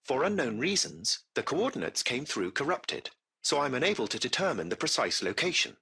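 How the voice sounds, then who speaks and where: A man's voice: mid-range voice, sing-song, moderate pace, gravelly, sharp tone, breathy edge, exaggerated voice. A man voicing a courteous, composed artificial intelligence that runs a taxi fleet, speaking in formal, precise, butler-like phrases for a video game. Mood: measured, wary. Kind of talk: scripted video game voice acting.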